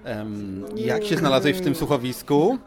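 A man's voice: mid-range voice, big pitch swings, moderate pace, over a noisy crowd. A man co-hosting a podcast, doing a casual, joking interview in a playful, teasing manner.

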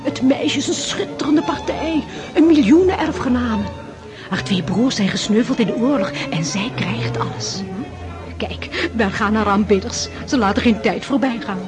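An actress in a Dutch radio drama playing a gossipy, sharp-tongued society lady, knowing and haughty. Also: on the high side, expressive, normal speed, music in the background, lightly acted. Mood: knowing, catty.

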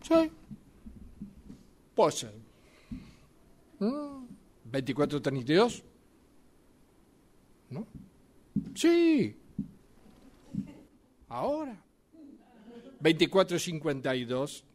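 A man's voice: fairly high pitch, huge pitch swings, drawn-out words, pauses often, darkish tone. A man speaking expressively, as if conversing, in an improvised acting exercise. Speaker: radio drama workshop participant. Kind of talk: acting exercise, numbers as dialogue.

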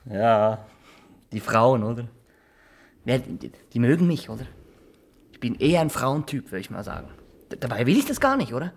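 An actor playing a nervous, boastful Swiss small-town barber in a radio drama, rambling, halting and always justifying himself. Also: male voice, medium pitch, sing-song, vocal fry. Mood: defensive, smug. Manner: rambling, halting, repeats himself, keeps adding tag questions. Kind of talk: naturalistic radio drama acting.

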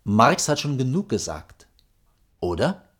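A stern, dry male bureaucrat with a rough-edged, husky voice. His pedantic, clipped admonitions swing widely in pitch, in the manner of 1980s radio drama. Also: sing-song, a little slow, fairly bright tone. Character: stiff, dutiful male bureaucrat, stern and dry senior colleague. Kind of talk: 1980s East German radio drama acting.

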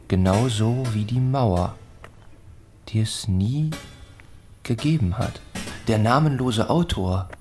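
A man narrating a literary radio play in short, deliberate, measured phrases, as a sober narrator of village life. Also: lowish voice, darkish tone, a little hiss or hum. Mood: sober, reflective.

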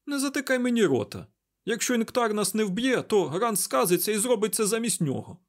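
Man acting a high-strung, panicky young man, pitched way up. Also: expressive, normal speed, darkish tone. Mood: panicked, angry. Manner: agitated, sputtering, exclamatory. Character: panicky, hot-tempered young man, blurting and cursing.

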